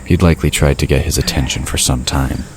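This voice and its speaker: A man's voice: very deep voice, steady tone, a little fast, crowd noise. A man narrating a fantasy audio drama in a scripted storytelling style.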